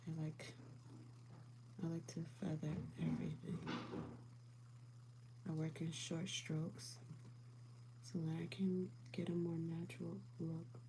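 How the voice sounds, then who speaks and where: Soft-spoken calm woman, low voice. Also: vocal fry, slight background noise, rough recording. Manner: often drops to a whisper while applying makeup. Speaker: beauty livestream host. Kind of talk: casual makeup chat with viewers.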